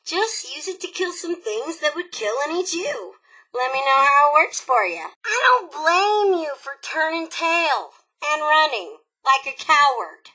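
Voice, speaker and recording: A woman voicing a cheerful, bloodthirsty female raider robot in a video game, brash, sarcastic and excitable, with a sharp, forceful delivery. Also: high-pitched, unhurried, heavily acted, rough recording. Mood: darkly amused, then teasing, approving. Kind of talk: animated video game character acting.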